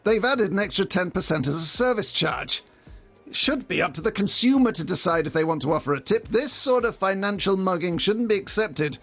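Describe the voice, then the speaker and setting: Comic audio drama: male actor as fussy detective, high and slightly rough voice, indignant and pedantic. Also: expressive, brisk, fairly bright tone, soft background music, heavily acted. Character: fussy, petty male older detective, easily sidetracked by grievances. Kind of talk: audio drama parody acting.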